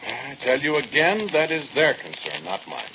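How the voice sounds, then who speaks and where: A man's voice: mid-range voice, very animated, normal speed, natural voice. An actor playing a cold, single-minded scientist in his forties, speaking precisely and with detachment in an old-time radio drama. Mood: curt, dismissive. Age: 40s. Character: cold, single-minded male research scientist, aged forty-seven. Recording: dull, noisy vintage radio recording.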